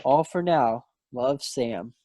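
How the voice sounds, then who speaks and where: A man's voice: mid-range voice, takes it slowly, mellow, dry recording, close to an everyday voice. A man playing a breezy, rueful young wartime serviceman in a table read of a stage play over a video call. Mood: wry, rueful. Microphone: computer mic on a video call.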